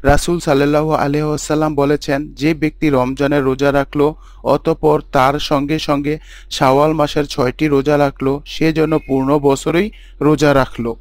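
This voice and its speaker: A man playing a pious husband and father in a scripted Islamic story, explaining teachings in a measured, didactic way. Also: on the high side, little pitch movement, dry recording, natural voice. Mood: earnest, instructive.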